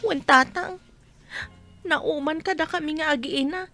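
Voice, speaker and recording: A woman playing a wronged, pleading wife and weary mother in a radio drama, with a plaintive, drawn-out, tearful delivery. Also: high-pitched, sharp tone, soft background music, heavily acted. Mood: tearful, sorrowful.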